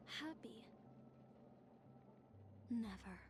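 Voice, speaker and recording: Slow, menacing young woman. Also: on the high side, lively intonation, noisy background. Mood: cold hatred. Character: bitter, menacing young woman with cold hatred. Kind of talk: dramatic video game voice acting.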